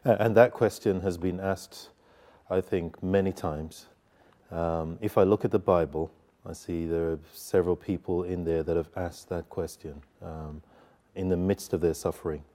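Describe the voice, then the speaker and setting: A man speaking as a panel guest in an informal religious discussion, reflective and halting as he thinks. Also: sits low, fairly even intonation, mellow.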